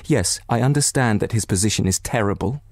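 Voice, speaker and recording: Man reading as an anguished, breathy betrayed wife. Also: fairly low pitch, darkish tone, dry recording, clean studio sound. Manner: anguished, emphatic, halting delivery. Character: distraught betrayed wife, bitter, near tears.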